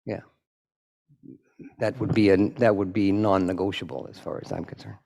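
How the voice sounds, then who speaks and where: A man's voice: sits low, steady tone, moderate pace, vocal fry, mellow. Plain-spoken man answering parish questions. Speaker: presenting committee member. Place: church meeting room.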